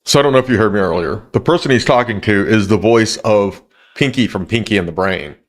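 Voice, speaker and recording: A man hosting a live stream, speaking in a somewhat low voice. He chats casually, wanders off on side thoughts and speaks directly to the people watching. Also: a little fast. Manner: conversational, rambling, talks to viewers directly.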